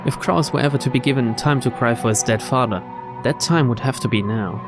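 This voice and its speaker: Guy reading out a visual novel for a playthrough, mid pitched voice, game music going behind him. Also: fairly quick. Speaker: game playthrough narrator and commentator.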